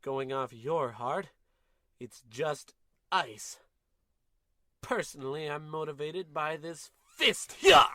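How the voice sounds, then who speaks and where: A man playing a defiant, hammy dueling captain in a comedy radio play, with grandiose drawn-out declaiming. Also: a little high, lively intonation, pushed and bright, no room sound, heavily acted. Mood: vengeful, taunting.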